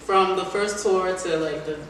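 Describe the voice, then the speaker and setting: Higher-voiced male interviewer in echoey room. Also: steady tone, measured pace. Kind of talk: casual conversational interview. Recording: very echoey room, distant mic, loud noise.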